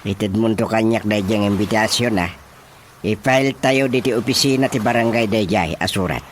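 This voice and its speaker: A man's voice: lowish voice, fairly quick, a little hiss or hum, natural voice. A man with an Ilocano accent playing a bossy, irate village chairman who scolds and exclaims in a radio comedy drama. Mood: demanding.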